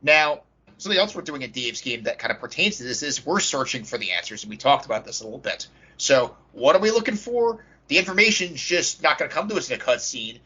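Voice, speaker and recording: A man's voice: medium pitch, big pitch swings, fast talker, pushed and bright, husky, muffled recording. A man in casual, opinionated chat as a podcast co-host about tabletop games, direct and emphatic when giving advice.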